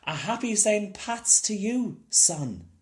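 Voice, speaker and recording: A small, determined boy, as a male storybook reader puts him on, proud and earnest. His pitch leaps about with lively swings. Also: slow, full character voice. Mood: proud, defiant. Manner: earnest, folksy phrasing.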